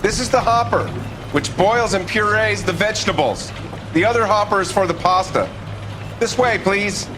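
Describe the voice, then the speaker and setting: A man's voice: high-pitched, expressive, normal speed, background noise. An actor playing a defensive, evasive male factory owner with a folksy manner in a video game. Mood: businesslike. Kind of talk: acted video game dialogue.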